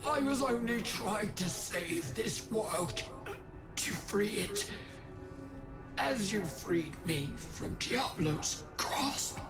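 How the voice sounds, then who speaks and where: An actor playing a tormented, lonely young prince gripped by dark power, speaking in an anguished, dramatic video game style. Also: mid-range voice, expressive, extremely slow. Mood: bitter, grieving. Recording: loud music behind the voice.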